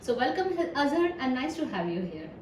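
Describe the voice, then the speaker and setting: Woman host, kinda high voice, friendly, lots of yeahs, sounds far from the mic in an echoey room. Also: sing-song, brisk, a little mellow, slight background noise. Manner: friendly, frequent backchannel affirmations. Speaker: host, interviewer. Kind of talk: hosting an interview.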